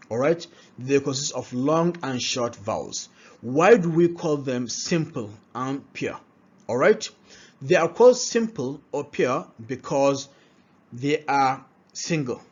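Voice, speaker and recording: A man's voice: medium pitch, animated, a little slow, slightly rough. A man teaching a pronunciation lesson, often asking rhetorical questions and checking in with "all right?". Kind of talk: classroom-style lesson. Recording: dull lo-fi recording.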